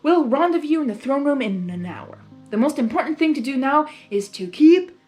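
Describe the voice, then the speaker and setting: A woman reads as a young pony princess from a storybook, earnest and commanding. The voice is high and urgent, with music behind it. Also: animated, normal speed, a little mellow. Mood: brisk, resolute.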